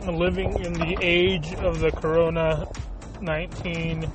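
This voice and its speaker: A man hosting a podcast in a casual monologue, halting and searching for words with many fillers. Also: a little high, flat delivery. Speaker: podcast host. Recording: talking over clear background music.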